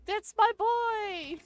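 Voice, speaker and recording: A woman casually commenting on a game, playfully heckling it in a blunt way. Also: extremely high pitch. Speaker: gameplay commentator.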